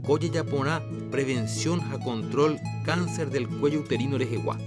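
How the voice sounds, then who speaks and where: A man's voice: medium pitch, normal speed, over music. A man giving a formal scripted closing announcement for a radio program.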